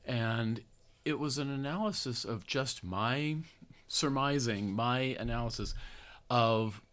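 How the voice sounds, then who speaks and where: An older American man hosting a podcast, reading his own article aloud in an earnest, deliberate way with conversational asides. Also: medium pitch, fairly bright tone. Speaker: podcast host, therapist and activist writer.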